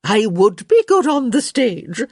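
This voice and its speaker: A woman voicing an elderly countess, sharp, theatrical and blunt, in a story reading. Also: fairly high pitch, sing-song, measured pace, slightly rough, no room sound, studio quality, pitched above the performer's own voice, heavily acted. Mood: bossy.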